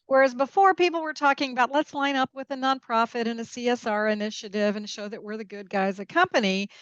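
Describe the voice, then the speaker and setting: A woman with a fairly high voice speaks as an interview guest, explaining things with emphasis and checking in as she goes. The sound is dry and a little dull, as in a lo-fi podcast recording. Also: fairly bright tone, dry recording. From Peru and Mexico. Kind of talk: conversational interview commentary.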